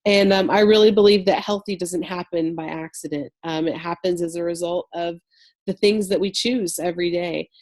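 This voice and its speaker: A woman's voice: on the low side, fairly even intonation, moderate pace, a little mellow. A woman in her thirties with a head cold and a slightly nasal voice, presenting an online wellness class in a chatty way with many fillers.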